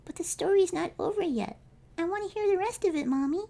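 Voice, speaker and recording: Woman putting on a small boy's voice, pleading, high, with a gravelly edge. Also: a little fast, dark tone. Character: small excited boy child.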